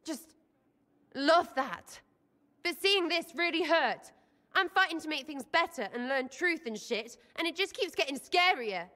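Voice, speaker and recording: A woman's voice: high voice, animated, unhurried, bright, forceful tone, big-room sound, heavily acted. A woman playing a brash, irreverent young elf rebel in a video game, with an English accent and blunt, slangy, cheeky delivery. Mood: upset, pleading. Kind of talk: video game cutscene acting.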